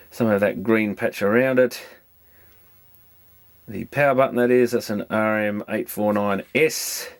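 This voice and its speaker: A man's voice: fairly low pitch, slight background noise. A man giving casual, hands-on repair commentary, thinking aloud in a rambling, matter-of-fact way as he works through electronics. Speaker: electronics repairer presenting a video.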